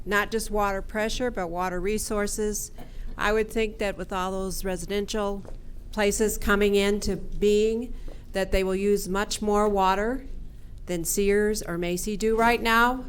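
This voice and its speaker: Woman, mid pitch, direct, probing, audience questioner, faint ambient noise. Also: steady tone, a little slow. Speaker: audience member asking questions. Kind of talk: questioning at a public meeting.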